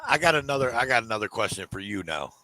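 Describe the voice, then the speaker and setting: A man's voice: lowish voice, very animated, quick pace, bright, forceful tone. A man, a guest in a casual chat, blunt and joking. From United States.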